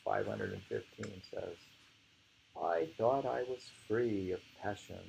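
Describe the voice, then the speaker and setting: A man with a very low, creaky voice reads aloud and comments informally. He is halting and musing, often pausing. The recording has some ambient room noise. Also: a little mellow, low-quality recording. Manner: halting, musing, with pauses and hums. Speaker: reader and discussion host.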